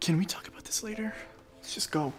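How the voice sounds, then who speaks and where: A man's voice: high-pitched, fast, fairly bright tone, in a whisper, faint music behind. A young man playing an anxious, closeted student candidate in a scripted drama, earnest, nervous and guarded. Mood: anxious, hushed. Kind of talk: scripted moral drama acting.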